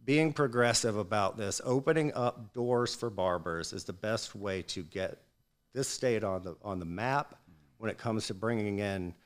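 A man's voice: on the low side, measured pace. A man, a barber student on a podcast panel, speaking earnestly with filler words. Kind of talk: casual panel discussion. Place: barbershop event space, indoors.

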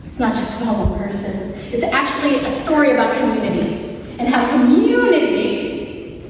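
Echoey, high-voiced woman preaching. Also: very animated, moderate pace. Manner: gentle invitations, repeats phrases for emphasis. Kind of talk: conversational, reflective sermon. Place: large church hall, indoors. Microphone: distant camera in the audience. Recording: echoey hall from far off, some noise.

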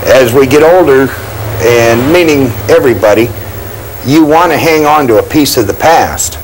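A man's voice: mid-range voice, moderate pace, slight background noise, rough recording. A middle-aged man, a repair shop owner, talking casually in his small shop. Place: small radio shop indoors.

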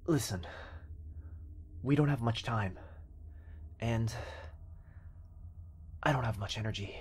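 A man's voice: mid-range voice, slow pace, darkish tone, slightly rough, pitched above the performer's own voice. A man playing an earnest young boyfriend in an ASMR roleplay, protective and tender, speaking with urgent reassurance. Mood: tender, reassuring.

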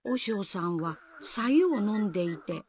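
A woman's voice: medium pitch, lively intonation, a little slow, darkish tone, soft background music. A woman narrator telling a scripted folk tale in a storytelling style.

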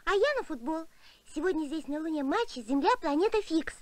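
A woman's voice: high voice, animated, brisk, no echo. A woman voicing a cheerful, confident nine-year-old girl in a Soviet cartoon. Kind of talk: Soviet animated film voice acting.

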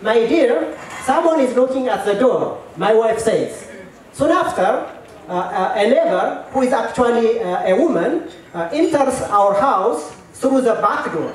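A middle-aged Ethiopian man telling a personal story to an audience on a handheld mic, halting, with many fillers and repeated words. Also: extremely high pitch, animated, a little hiss or hum. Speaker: storyteller at a work meeting. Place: large tent at an event. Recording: echoey tent, mic at a distance.

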